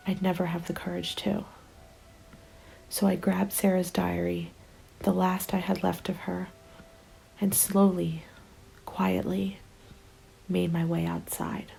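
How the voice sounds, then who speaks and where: A woman playing a weary, despairing zombie apocalypse survivor in a scripted ASMR roleplay, hushed and often slipping into a whisper. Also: lowish voice, creaky, a little hiss or hum, rough recording. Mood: tentative hope. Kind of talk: ASMR roleplay, scripted first-person narration. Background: soft music bed.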